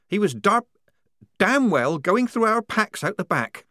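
A man voicing a quick-witted young woman soldier who talks fast and urgently to cover things up. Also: high voice, sing-song, bright, forceful tone, no room sound, studio quality, pitched above the performer's own voice, heavily acted.

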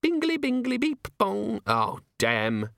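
A man reading an audiobook, voicing a tiny chirpy male imp that lives in a pocket organiser, with a shrill, prim voice. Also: huge pitch swings, takes it slowly, creaky, a bit bright, no room sound, clean studio sound, full character voice.

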